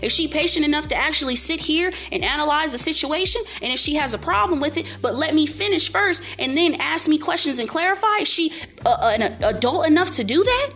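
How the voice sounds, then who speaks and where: A young woman vlogger giving motivational life advice in a loud, emphatic, repetitive way. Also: high voice, lively intonation, a little fast, hardly any pauses. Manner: emphatic, preachy, repeats herself, speaks up loudly. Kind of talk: casual motivational monologue to camera.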